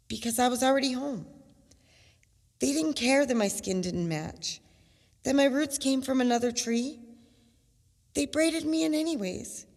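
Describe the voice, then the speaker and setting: A woman performing slam poetry as a spoken word poet, in a measured, rhythmic cadence with dramatic pauses and emphatic repetition. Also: fairly high pitch. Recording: long reverb on the voice.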